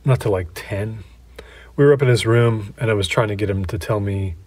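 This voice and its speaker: An actor playing a middle-aged American man who recalls his youth candidly, in a halting, conversational, self-deprecating way, as if interviewed for an audio drama. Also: lowish voice, darkish tone, dry recording, natural voice. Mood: reflective, candid. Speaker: interview subject. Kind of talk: naturalistic audio drama acting as interview reminiscence.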